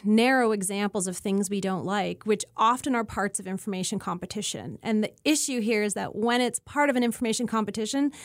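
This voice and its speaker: A woman's voice: medium pitch, no room sound, clean studio sound. A middle-aged woman with a Canadian accent, explaining things as an interview guest in a thoughtful, informal and self-deprecating way. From Ontario, Canada.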